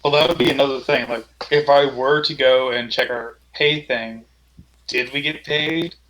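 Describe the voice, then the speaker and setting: Mid-pitched man in casual game chat. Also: fairly even intonation, moderate pace, a bit bright, rough recording. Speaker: tabletop game player.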